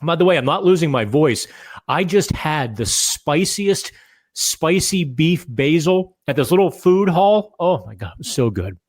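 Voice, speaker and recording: A man hosting a casual solo podcast from a hotel room, slightly raspy and rambling, with fillers and restarts. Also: fairly high pitch, big pitch swings, unhurried. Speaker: podcast host.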